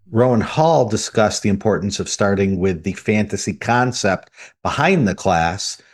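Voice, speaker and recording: A man co-hosting a podcast, reading out news items in a conversational way. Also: deep voice. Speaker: podcast co-host.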